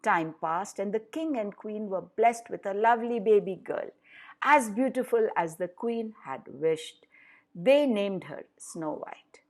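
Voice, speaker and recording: A woman narrating a fairy tale for children with deliberate, dramatic emphasis. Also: medium pitch, lively intonation, a little mellow. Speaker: storyteller for children.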